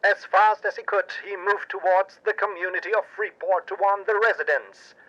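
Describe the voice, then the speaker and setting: A man's voice: high-pitched, fairly even intonation, moderate pace, pushed and bright, highs cut off. A man playing an earnest, paranoid radio news anchor, narrating pulp drama in a dramatic, portentous manner. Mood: urgent.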